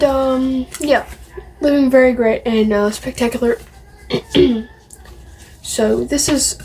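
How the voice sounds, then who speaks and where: A woman's voice: on the high side, mellow, slight background noise, low-quality recording. A woman vlogging casually to camera, showing off a collection in a rambling, opinionated way and repeating phrases. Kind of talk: casual show-and-tell to camera.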